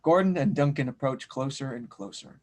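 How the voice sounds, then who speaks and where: A man's voice: medium pitch, moderate pace. A man reading scripted narration and stage directions for an audio drama table read.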